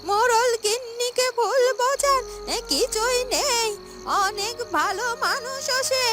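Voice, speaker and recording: A woman voicing a calm, persuasive old village woman in a cartoon, admonishing as she talks. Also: extremely high pitch, takes it slowly, pitched above the performer's own voice. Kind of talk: Bengali moral-story cartoon dubbing. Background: loud music.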